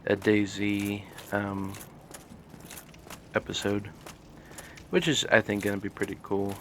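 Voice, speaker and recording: A man hosting a casual let's play, talking in a monotone and rambling with many fillers. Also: on the low side, takes it slowly, slight background noise. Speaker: gaming channel host.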